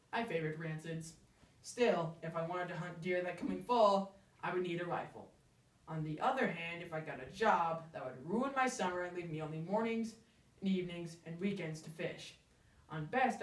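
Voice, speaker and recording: A storyteller delivers comic first-person narration with theatrical emphasis, at a middling pitch. The voice sits a bit back from the microphone in a roomy, echoing space. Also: normal speed. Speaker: storyteller reciting a humorous story. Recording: echoey room, distant microphone.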